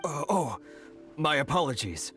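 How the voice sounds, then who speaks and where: An actor playing a downtrodden, deferential merchant in a video game, speaking in a hesitant, apologetic way. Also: male voice, a little high, very animated, measured pace, fairly bright tone, soft background music. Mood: flustered. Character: anxious, downtrodden male foreign merchant, deferential. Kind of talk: video game character acting.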